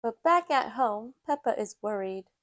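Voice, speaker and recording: Female storytime reader: slightly high voice, slow, measured narration, dry close sound. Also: expressive, a bit bright, dry recording. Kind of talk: children's picture book read-aloud.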